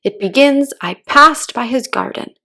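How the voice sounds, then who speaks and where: A bossy storybook Gryphon played by a woman, raised high in pitch with a creaky edge. It gives brusque, hasty commands, like a creature used to ordering others about. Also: unhurried, sharp tone, full character voice. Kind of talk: children's storybook read-aloud.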